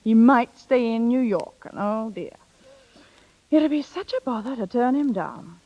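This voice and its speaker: An actress playing a scheming, playful older sister in brisk, knowing 1930s radio drama style. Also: female voice, on the high side, animated, mellow, highs cut off. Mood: wry, pressing. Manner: brisk, knowing, theatrical, coaxing. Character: bossy, scheming, playful older sister coaching younger one. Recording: vintage 1930s radio broadcast.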